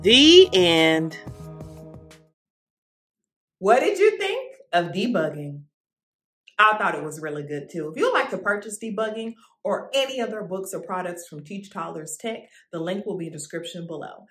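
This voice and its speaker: A young woman, host of the channel, reading a children's picture book aloud in an expressive, animated way. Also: lowish voice, normal speed, breathy edge, faint music behind. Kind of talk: read-aloud storytelling. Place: indoor studio with plain orange backdrop.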